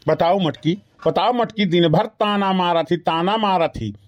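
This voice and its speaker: A man's voice: sits high, measured pace, dull audio. A man voicing an exasperated, skinny henpecked village husband in a comedy cartoon, grumbling repetitively with an Awadhi accent. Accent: Awadhi, eastern Uttar Pradesh. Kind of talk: rustic comedy cartoon dialogue.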